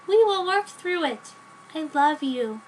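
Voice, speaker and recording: A high-pitched, melodramatic teenage girl acted out by a woman in an amateur story reading, with clear background noise. She is lovesick and pious, gushing and exclaiming in an overwrought way. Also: expressive, takes it slowly, low-quality recording. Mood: hopeful, eager. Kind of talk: mock-dramatic fan fiction reading.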